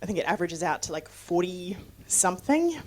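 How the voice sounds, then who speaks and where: Lower-voiced woman from New Zealand. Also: big pitch swings, brisk, a little mellow. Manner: chatty and loose, with frequent fillers and asides. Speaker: guest speaker on sustainability. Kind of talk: conversational presentation with audience questions.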